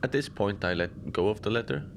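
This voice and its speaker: A man playing a chatty, wry manager of an Antarctic research facility in an audio drama, talking in a rambling, deadpan way with hesitant asides. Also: lowish voice, fairly quick, lightly acted. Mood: puzzled, deadpan. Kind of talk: conversational monologue, log-style audio drama. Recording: noisy background ambience.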